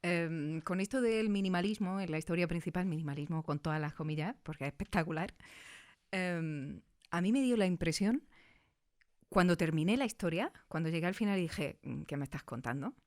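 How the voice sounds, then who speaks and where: Lower-pitched woman interviewing casually. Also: a little fast. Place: recording studio.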